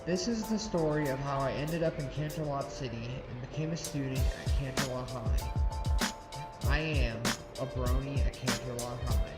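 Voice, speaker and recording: A man's voice: medium pitch, moderate pace, lightly acted. A man voicing an ordinary teenage guy introducing his own story in a chatty, confiding way. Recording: echoey room with music bed.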